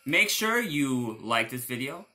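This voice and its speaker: A man, reading a children's storybook aloud in an animated style. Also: medium pitch, slow. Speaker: storybook reader.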